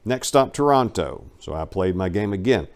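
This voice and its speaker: Male narrator with a lower-pitched voice for a dramatic memoir reading; wry, animated storyteller. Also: moderate pace. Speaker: audiobook narrator.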